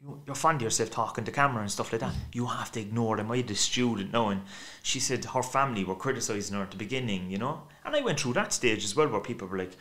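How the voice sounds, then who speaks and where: A man's voice: medium pitch, fast talker, hardly any pauses. Chatty man with a thick Cork accent. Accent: Cork Irish. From Ireland. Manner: chatty, animated storytelling with many restarts. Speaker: guest expert. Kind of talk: casual podcast chat. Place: small bright podcast studio, indoors. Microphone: desk mic on stand.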